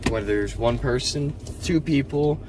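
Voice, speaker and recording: A man talking casually with many ums and restarts, in a slightly monotone voice, recorded in a car. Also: medium pitch, moderate pace. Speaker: eBay seller vlogger. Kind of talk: casual vlog talk. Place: in a car, driving around town. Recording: distant mic, loud car and street noise.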